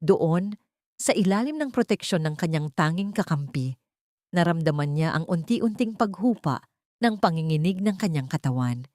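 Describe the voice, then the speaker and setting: Expressive woman narrating a dramatic story. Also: lowish voice, moderate pace, no echo, clean studio sound.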